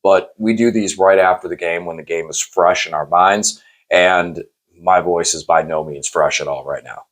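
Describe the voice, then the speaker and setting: Hoarse deep-voiced man. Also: normal speed, a bit bright. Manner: rambling, self-correcting, teasing. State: losing his voice, hoarse. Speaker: channel host. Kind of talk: casual post-game chat.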